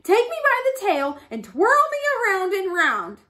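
A cunning little storybook rabbit, put on by a woman, pleads and coaxes in a high voice. She is plucky and swoops around excitedly as she exclaims. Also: measured pace, full character voice. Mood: pleading, crafty.

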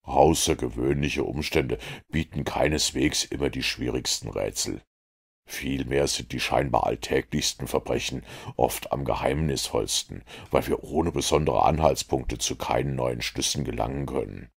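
A man voicing a calm, confident detective who explains his reasoning in a measured, lecturing way. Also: a bass voice, gravelly, breathy edge, heavily acted. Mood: explaining. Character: calm, confident male detective, middle-aged.

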